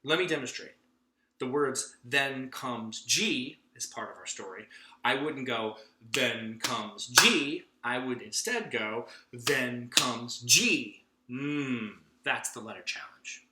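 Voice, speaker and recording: A man's voice: medium pitch, slow pace, heavy background noise. A man playfully and emphatically teaching a clapping activity to children, drawing out the words he demonstrates. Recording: echoey room.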